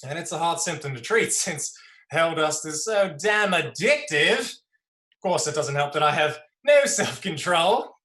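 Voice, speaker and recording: A man's voice: a little high, expressive, measured pace, sharp tone, echoey room. A man voicing Scarecrow, a crude, sardonic male junkie patient, in a dramatized script reading. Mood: glum joking. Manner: sardonic, crude, joking drawl. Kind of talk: livestream play script reading.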